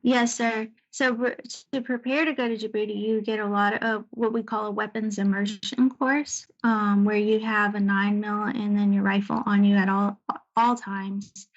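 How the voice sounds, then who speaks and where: A woman giving an informal slide presentation about her service as a Navy air traffic controller, talking conversationally with searching pauses. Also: medium pitch, flat delivery, lo-fi sound. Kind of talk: informal slide presentation recounting deployment stories.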